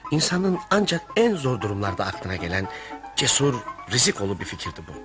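An actor playing an earnest, exasperated family friend in radio theatre, delivered as theatrical storytelling. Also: male voice, mid-range voice, very animated, fairly quick, music in the background, close to an everyday voice. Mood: wry storytelling. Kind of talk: radio theatre narration and dialogue.

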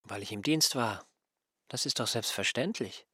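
A reproachful aging assistant. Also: male voice, medium pitch, lively intonation, normal speed, bright, forceful tone, husky, no echo, clean studio sound. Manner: reproachful, complaining, mildly mocking. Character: aggrieved male assistant, no longer young, whiny. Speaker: surveyor's assistant. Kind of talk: radio play acting.

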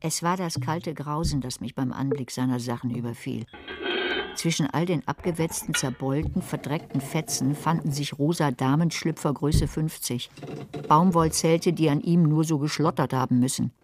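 A woman's voice: low-pitched, moderate pace, darkish tone, slight background noise, natural voice. An actress playing a dry, ironic older woman who also narrates the radio drama.

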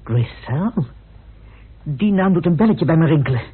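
An actor playing an eager, dreamy secretary in a radio drama, musing and insistent. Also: male voice, on the high side, animated, normal speed, slight background noise, natural voice. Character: eager, dreamy male secretary full of facts. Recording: muffled, tinny old radio recording.

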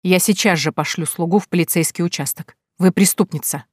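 A woman's voice: lowish voice, brisk, no echo, lightly acted. A woman reading a stern, icy old count. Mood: icy anger. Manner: cold, clipped, commanding. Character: stern aging male count, icy and wrathful. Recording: dry close recording.

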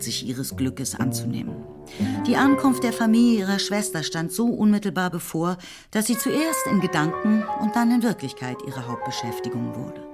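Low-voiced woman narrating a novel. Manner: measured storytelling delivery. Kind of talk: scripted literary narration. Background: music.

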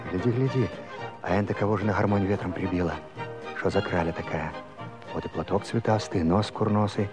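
A male actor as a folksy old Cossack with a low voice. He is nosy and wry, sizing people up in rustic fashion. It is musical comedy acting with music clearly behind him. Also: brisk, lightly acted. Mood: curious, admiring.

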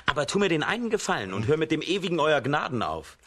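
An actor playing a strong, good-natured hero with a blunt, jovial manner in a children's radio play. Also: male voice, fairly high pitch, big pitch swings, fast talker, a bit bright, exaggerated voice. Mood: hearty, generous.

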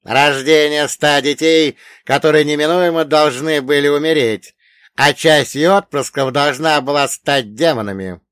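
A man voicing a wise, centuries-old dead grandfather in an audiobook, telling old lore in an emphatic, drawn-out, lecturing way. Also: a little high, fairly bright tone, clean tone, dry recording, clean studio sound, voice pushed up. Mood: grave, emphatic. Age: older. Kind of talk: audiobook character voice.

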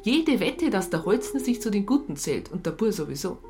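An actress playing a disillusioned police psychologist in a radio drama, blunt and sardonic. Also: female voice, medium pitch, sing-song, fairly quick, over music. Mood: resigned, sardonic. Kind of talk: naturalistic radio drama dialogue.

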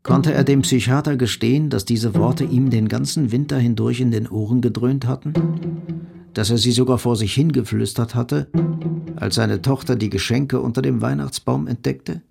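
A man's voice: mid-range voice, normal speed, creaky, a little mellow, soft background music. A man narrating literary fiction as part of an audio drama.

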